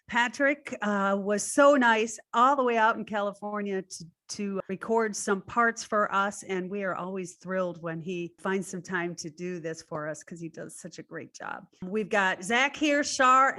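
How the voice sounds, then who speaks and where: A woman hosting, giving an informal introduction in a chatty, deliberate way. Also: mid-range voice, a bit bright. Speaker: host and theater company director.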